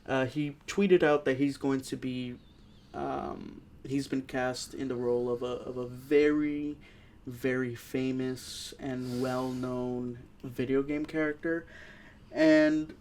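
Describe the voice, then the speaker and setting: A man's voice: mid-range voice, flat delivery, takes it slowly, darkish tone. Agreeable man chatting over background noise. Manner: agreeable, conceding the other's points. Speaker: podcast co-host reviewing films. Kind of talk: casual film and video game chat.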